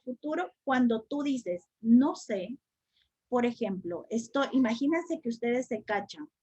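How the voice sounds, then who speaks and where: A woman's voice: high-pitched, darkish tone, husky, dull audio. A woman teaching a spiritual study class, speaking reflectively and repeating phrases for emphasis. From El Salvador.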